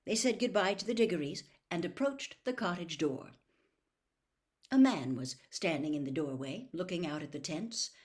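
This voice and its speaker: A woman with a slightly low voice reads fiction aloud. Her storytelling is measured and careful, with expressive phrasing suited to an audiobook. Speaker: audiobook reader.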